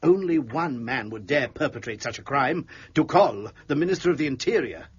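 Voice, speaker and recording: An actor playing an anxious, officious police inspector of old Paris in a period radio drama, formal and earnest. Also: male voice, medium pitch, expressive, normal speed, lo-fi sound. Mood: uneasy, indignant. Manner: formal, earnest, hesitant throat-clearing starts.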